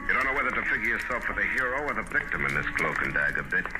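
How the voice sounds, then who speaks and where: A hard-boiled detective speaks in a deep voice over prominent music. He is world-weary, terse and wry, in the manner of 1950s radio noir. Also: big pitch swings, rapid-fire, rough recording. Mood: tense, brooding. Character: hard-boiled, cynical, tough male private detective. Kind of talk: 1950s radio noir acting and second-person narration. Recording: old radio recording with music.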